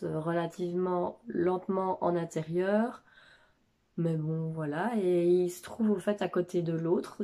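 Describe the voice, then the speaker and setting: A woman in her thirties with a cold and a nasal voice, chatting casually about her houseplants at home, pausing often with filler sounds. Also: lowish voice, warm tone. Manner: hesitant, many filler sounds. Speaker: plant vlogger. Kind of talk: casual chat. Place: home living room.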